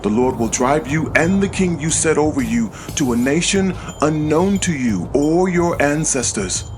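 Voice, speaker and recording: A man's voice: on the high side, lively intonation, measured pace, noisy background. A man narrating scripture as a dramatized audio Bible reading, solemn and declamatory in delivery. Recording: music behind the voice. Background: background music.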